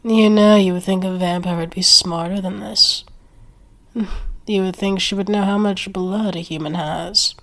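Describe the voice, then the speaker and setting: A woman's voice: medium pitch, steady tone, measured pace, a bit bright. A woman acting a proud, lovestruck werewolf in an ASMR roleplay, sardonic toward a rival and coaxing toward a beloved. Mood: mocking.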